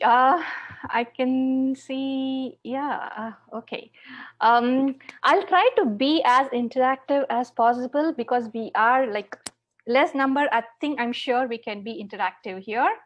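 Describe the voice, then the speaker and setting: A woman with a South Indian accent presenting an interactive online lesson, halting and often repeating words as she explains. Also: sits high, dull audio. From Chennai, South India. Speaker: teacher, online conference presenter. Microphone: video call.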